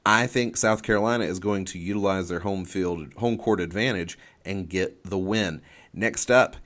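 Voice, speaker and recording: A man co-hosting a sports podcast, giving opinions and game picks in casual talk, halting and repeating phrases as he reasons. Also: sits low, fairly bright tone.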